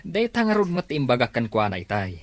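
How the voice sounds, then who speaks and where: Man playing a greedy pushy schemer, sneering, wheedling, Filipino accent, very animated pitch, radio drama. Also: normal speed, no room sound, natural voice. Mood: scornful, scheming.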